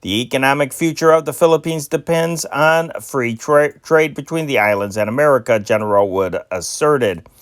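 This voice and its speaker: A man's voice: mid-range voice, no echo. Amateur man reading old news, dry. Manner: amateur reading with stumbles and restarts. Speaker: newspaper reader and channel host. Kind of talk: reading old newspaper stories aloud.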